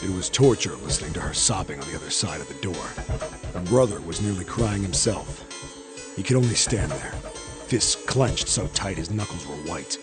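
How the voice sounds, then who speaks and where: A man's voice: sits low, animated, normal speed, over music. An actor playing a haunted man who painfully narrates a traumatic memory in a video game. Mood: grave. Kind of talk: dramatic first-person game narration.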